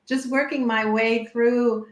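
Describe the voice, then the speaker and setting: A middle-aged woman from Canada, raised speaking German too, talking casually as an interview guest, lively and laughing easily. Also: takes it slowly. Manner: lively, laughs often, rising and falling pitch. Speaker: guest, immigration lawyer. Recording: echoey room.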